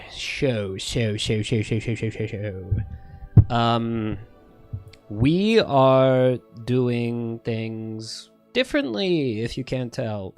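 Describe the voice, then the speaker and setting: A man with a slight lisp, chatting casually to his stream viewers in a rambling, profane, self-correcting way. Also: medium pitch. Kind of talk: casual livestream chat.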